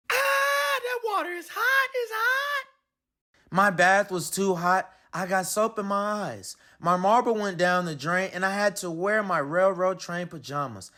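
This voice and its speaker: A man's voice: high voice, sing-song, unhurried, sharp tone, heavily acted. A man voicing a sulky, indignant little boy in a children's picture book read-aloud, complaining in an exaggerated, dramatic way. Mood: disgusted whining. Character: sulky, whiny, indignant little boy having a bad day.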